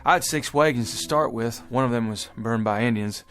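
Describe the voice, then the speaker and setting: A man's voice: mid-range voice, brisk, fairly bright tone, lightly acted. Actor as a bewildered, stammering pioneer man. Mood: dazed, polite. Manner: hesitant, stammering, folksy old-time phrasing. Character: bewildered, earnest pioneer wagon traveler from the past. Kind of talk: radio drama acting.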